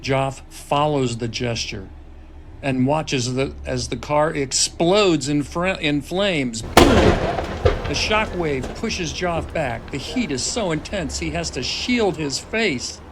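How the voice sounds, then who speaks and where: A man's voice: a little high, slow pace. Man narrating screenplay action, some noise. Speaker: stage-direction narrator. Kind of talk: scripted dramatic narration of a screenplay. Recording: noisy table-read room recording.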